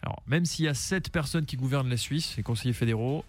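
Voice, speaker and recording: A man's voice: mid-range voice, fast talker, faint music behind. A man co-hosting a morning radio show, announcing segments in an upbeat way. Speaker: radio co-host. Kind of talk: casual morning radio chat.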